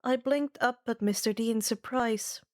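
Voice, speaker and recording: A woman narrating and hosting fan fiction readings in a measured, expressive storytelling manner. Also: on the high side. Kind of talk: scripted first-person story narration.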